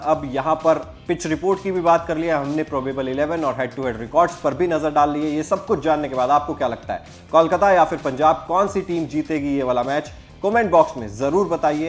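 A young man presenting a scripted cricket match preview to camera from a studio, in a brisk, fluent news style. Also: on the high side. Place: studio with virtual backdrop.

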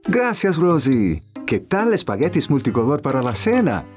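A woman's voice: low voice, very animated, normal speed, a little mellow, faint music behind. A woman voicing a friendly, playful parent in a children's cartoon, upbeat and explanatory.

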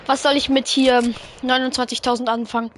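A woman streaming a video game with friends, excitable, reading chat aloud and laughing often. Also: sits high, fairly quick, very noisy. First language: German. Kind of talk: casual live-stream gaming chat.

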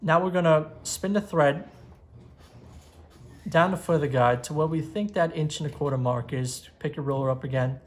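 A man's voice: on the high side, moderate pace. A man in his twenties giving a step-by-step tutorial at an indoor workbench, recorded on a lapel mic. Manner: steady step-by-step instruction. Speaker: magazine editor giving a tutorial. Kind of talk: how-to tutorial.

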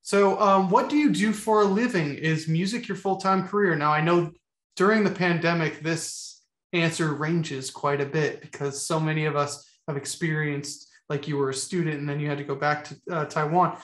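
A man's voice: a little high, steady tone, normal speed, fairly bright tone, roomy sound. A man in his thirties, a music teacher, interviewing a guest on a video call in a friendly, encouraging, conversational way. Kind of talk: casual interview. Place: home room with guitars, video call.

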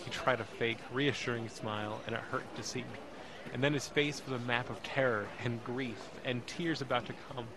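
An anxious, emotional young man acted by a man tells his own story in an audio drama. His delivery is intense and halting, in a mid-pitched voice, with crowd ambience behind. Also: fairly even intonation, lightly acted. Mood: tense, afraid. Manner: intense, halting, repeats words. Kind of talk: dramatic first-person narration in audio drama.

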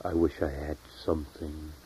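A man reading his own poetry in a solemn, measured, deliberate way with long pauses, as a poet at a reading. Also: a bass voice, level tone, dark tone, a little hiss or hum. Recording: dull lo-fi 1970s tape recording.

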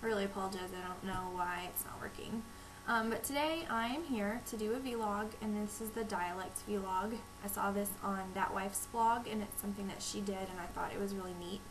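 A young woman from North Texas with a Texas accent, chatting to camera at home with lots of ums and reading out a word list over a weak, quiet webcam microphone. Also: medium pitch, a little fast, few pauses. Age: young adult. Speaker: vlogger. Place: small room at home, indoors. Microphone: webcam microphone, low volume. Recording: quiet webcam mic with hiss.

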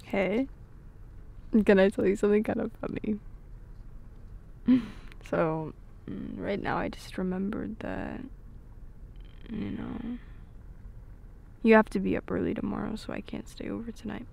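Woman doing a soft flirty gf for asmr roleplay, playful n affectionate, really slow, lots of vocal fry. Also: medium pitch. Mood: affectionate, shy. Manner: soft-spoken, giggly, breathy pauses, hesitant. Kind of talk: intimate ASMR roleplay, scripted but natural.

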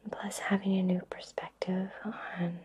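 A woman whispering breathily in a soothing, reassuring way with long pauses, guiding an ASMR Reiki session. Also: mid-range voice, level tone, darkish tone, a little hiss or hum. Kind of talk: whispered ASMR personal attention.

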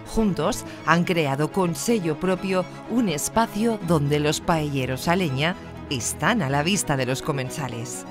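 A woman with an accent from Spain, presenting a television food show with scripted narration. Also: sits low. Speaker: TV cooking show host.

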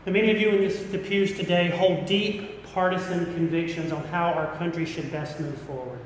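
A middle-aged American man delivers a sermon in an earnest, deliberate way, leaning on repeated phrases. His voice is on the high side for a man. It rings through a very echoey church hall with some low rumbling noise behind it. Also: steady tone. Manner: earnest, deliberate, emphatic repetition. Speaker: pastor. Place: large church interior.

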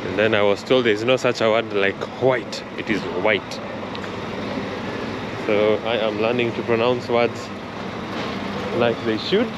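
Slow-talking young man filming on the go. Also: lowish voice, little pitch movement. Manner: slow, thoughtful, chatty, says he struggles with R and L. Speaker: travel vlogger. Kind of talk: walking travel vlog. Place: outdoors at a rainy harbor, then inside a concert hall. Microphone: camera held close at chest height. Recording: street noise behind the voice.

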